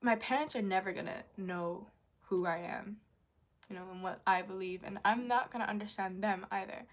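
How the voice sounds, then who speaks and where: A woman's voice: mid-range voice, moderate pace. A young woman talking straight to camera in a personal vlog. She is frank and confessional, rambling a little with casual fillers and the odd swear word. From Japanese, raised in Indonesia and New Zealand. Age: young adult. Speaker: vlogger.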